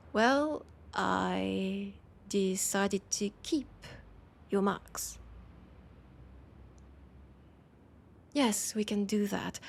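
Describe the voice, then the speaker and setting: A woman with a French accent playing a teasing, nostalgic, centuries-old vampire in an intimate audio roleplay, her breathy voice often dropping to a whisper. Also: mid-range voice. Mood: matter-of-fact, wry. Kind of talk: intimate audio roleplay, one-sided dialogue.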